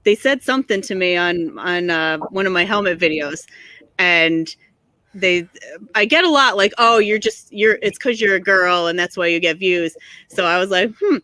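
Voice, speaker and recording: Bright, dry-voiced woman chatting and laughing. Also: lowish voice, moderate pace, dry recording. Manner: laughs often, sarcastic, filler-heavy storytelling. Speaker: guest, motorcycle video creator. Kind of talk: casual livestream chat. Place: home garage.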